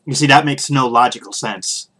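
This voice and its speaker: A man hosting a podcast, giving casual solo opinions in a halting way, pausing often as he thinks aloud. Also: mid-range voice, pushed and bright. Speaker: podcast host.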